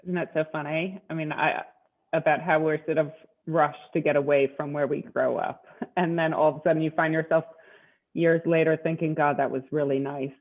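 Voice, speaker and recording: A woman's voice: deep voice, steady tone, moderate pace. A woman from the United States hosting a podcast interview, asking chatty questions with many fillers. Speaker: podcast host. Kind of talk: conversational interview. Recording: tinny phone-like line.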